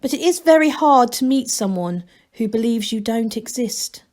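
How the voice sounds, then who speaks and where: A woman reading a novel aloud as a storyteller, in expressive scripted narration. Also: fairly high pitch, moderate pace.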